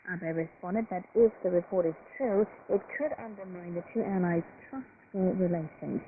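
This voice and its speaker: A woman's voice: fairly low pitch, moderate pace, phone-quality sound. A woman reading scripted international news for a radio broadcast. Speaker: newsreader. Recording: noisy, band-limited shortwave radio broadcast. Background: loud shortwave static and noise.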